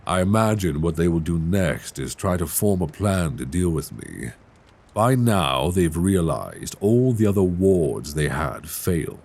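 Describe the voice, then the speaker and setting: A man playing an ancient dragon king in an intimate ASMR roleplay, tender and wry, with courtly, teasing phrasing and a husky voice. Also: low voice, a little mellow, heavily acted. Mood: wry, calm. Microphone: close binaural microphone.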